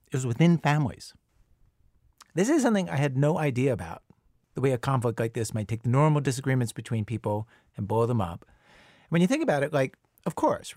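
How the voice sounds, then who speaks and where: A man's voice: medium pitch, darkish tone, no echo, clean studio sound. A man hosting a radio show, reading a scripted introduction in a conversational, reflective way.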